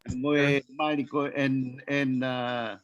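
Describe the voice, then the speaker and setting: An older man, sharing family memories on a video call in a halting way, with many pauses and filler sounds as he searches for names. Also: medium pitch, flat delivery, no room sound, dull audio.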